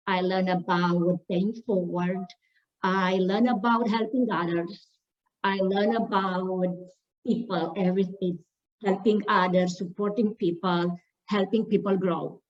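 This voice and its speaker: A woman from Romania, a staff member telling her story at an online panel, halting with many fillers and restarts. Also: medium pitch, darkish tone, far from the mic, muffled recording.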